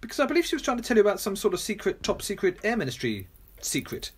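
A woman playing an earnest, polite gentleman hero in a radio play, an understated manner and a husky voice. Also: medium pitch, very animated, fast talker. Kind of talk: homemade radio play acting.

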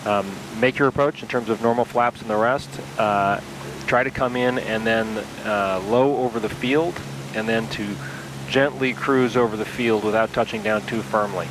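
Relaxed man talking through a sim flight. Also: fairly low pitch, normal speed, noisy background. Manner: relaxed, talking through each step aloud. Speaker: flight sim video host. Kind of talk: casual instructional narration while flying. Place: home flight simulator cockpit. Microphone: aviation headset.

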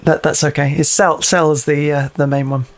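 A man's voice: a little high, flat delivery, moderate pace. A man playing a video game, commenting casually and reading notes aloud, often hesitating and repeating himself. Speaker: let's play host and player.

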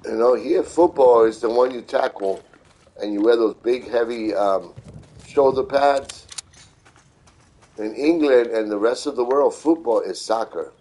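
A middle-aged man giving casual, unhurried live game commentary, repeating himself as he explains. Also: medium pitch, mellow, poor audio. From New York City, United States. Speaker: video game streamer.

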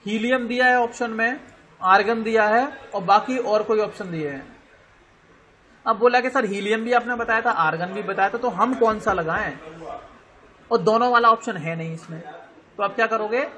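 Man, Indian accent, teacher, high-pitched, explains slowly, checks in with students. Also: sharp tone. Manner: explains slowly, spells words out letter by letter, checks understanding often. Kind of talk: online classroom lecture.

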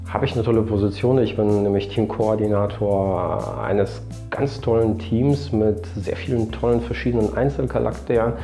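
A man's voice: lowish voice, warm tone. A man in his thirties, a nurse, answering interview questions earnestly in long fluent sentences. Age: 30s. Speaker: nurse and team coordinator. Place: indoors before a plain backdrop. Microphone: lapel mic.

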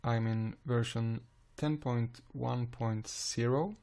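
A man with a Swedish accent calmly explaining music software in a screen-recorded tutorial, pausing often with "uh". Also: medium pitch. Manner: calm, unhurried explaining with frequent "uh" pauses.

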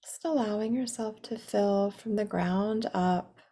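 Slow, mellow woman guiding a meditation. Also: mid-range voice. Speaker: guided meditation leader. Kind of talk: guided meditation narration.

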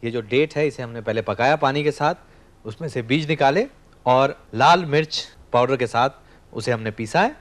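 A man in his thirties hosting a studio cooking show, explaining each step while he cooks and talks to the camera. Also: medium pitch. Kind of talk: scripted cooking demonstration. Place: TV studio kitchen set.

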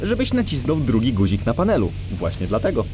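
An actor playing a helpful, proud but cautious animal inventor in a children's audio drama. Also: male voice, mid-range voice, huge pitch swings, a little fast, noisy background. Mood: calm, proud.

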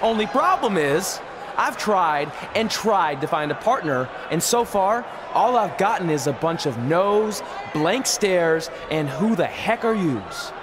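A man's voice: sits high, a little slow. A man voicing a determined young male wrestler in a video game, giving an earnest speech to the crowd. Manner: earnest, declamatory ring speech. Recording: game audio with steady background noise.

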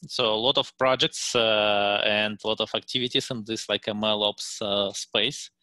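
A man in his thirties with a Russian accent, giving a meetup presentation over a headset on a video call from a room at home, halting with many fillers and restarts. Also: on the low side, fairly even intonation, sharp tone, dry recording. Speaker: guest speaker.

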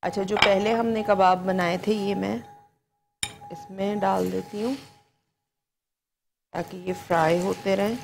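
A woman's voice: mid-range voice, fairly even intonation, unhurried, creaky, pauses often, background noise. A woman in her forties with a Pakistani Urdu accent, a TV chef patiently walking viewers through a recipe step by step in a studio kitchen, on a lapel mic. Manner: patient step-by-step instruction, repeats each ingredient. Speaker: TV cooking show chef. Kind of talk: live TV cooking demonstration.

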